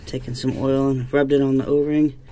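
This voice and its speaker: A man giving a casual, unhurried how-to narration while working outdoors, heard through a camera set near the job. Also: mid-range voice, level tone, warm tone. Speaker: DIY mechanic demonstrating a repair. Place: outdoors in a driveway beside a jet ski. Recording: outdoor camera audio with background noise.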